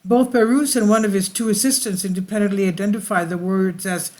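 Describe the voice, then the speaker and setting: A woman's voice: medium pitch, steady tone, a little fast, darkish tone. A woman hosting her channel, reading an article aloud and often stumbling and correcting herself mid-sentence. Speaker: channel host.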